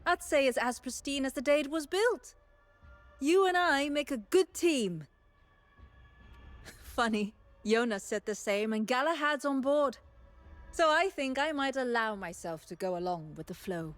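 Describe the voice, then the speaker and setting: A woman's voice: sits high, lively intonation, measured pace, faint music behind. An actress playing a keen, confident young female scholar in a fantasy game, wry and matter-of-fact. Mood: pleased, curious.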